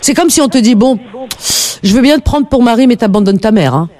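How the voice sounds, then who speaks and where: A woman's voice: a little high, expressive, a little fast, mellow, no echo. A woman hosting a call-in radio show, lecturing her caller in an emphatic, indignant way.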